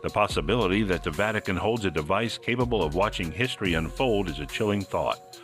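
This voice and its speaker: A man's voice: sits low, lively intonation, a little fast, fairly bright tone, faint music behind. A man hosting a podcast, reading scripted mystery narration in a suspenseful, theatrical manner. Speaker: podcast host.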